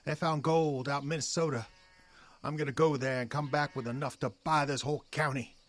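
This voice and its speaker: An actor playing a proud, impetuous young farmer's son in a period audio drama, defiant and plain-spoken in a country way. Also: male voice, on the high side, steady tone, fairly quick, lightly acted. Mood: angry, challenging. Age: young adult.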